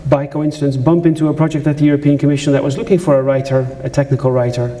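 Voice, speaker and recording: A man's voice: fairly high pitch, level tone, very fast, warm tone. A man with a Dutch accent giving a conversational conference presentation, with asides to the audience and frequent restarts. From Netherlands. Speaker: conference speaker. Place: lecture room at a conference.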